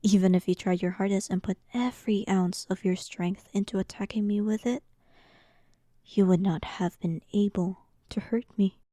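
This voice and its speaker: A dominant demon queen, acted by a woman, speaks slowly and with soft, mellow menace. She is arrogant and sarcastic, toying with her listener in a roleplay audio drama, often sinking into breathy near-whispers. The recording is close and dry. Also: mid-range voice, no echo, natural voice. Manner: slow, dominant, teasing, condescending, often dropping toward a whisper.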